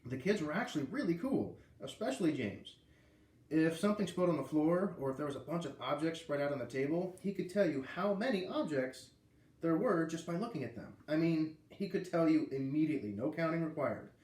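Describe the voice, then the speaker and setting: A man's voice: fairly high pitch, animated, a little fast, darkish tone, echoey room. A man with an American accent reading a children's book aloud, with casual asides. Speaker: read-aloud reader.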